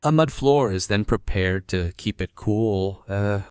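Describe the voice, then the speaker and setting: Low-pitched man narrating a cartoon story. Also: a little slow, a little mellow, clear, resonant tone, no room sound, studio quality.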